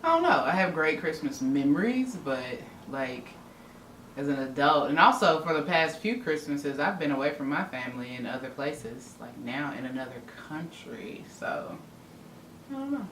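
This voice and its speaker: A woman's voice: sits low, sing-song, moderate pace, fairly bright tone. A woman from the US Midwest with a Southern-tinged accent, chatting candidly to camera with her wife and often trailing off with "I don't know.". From Indianapolis, Indiana, US Midwest. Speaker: vlogger. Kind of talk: casual couple's chat to camera. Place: home room. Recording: roomy, distant mic with faint noise.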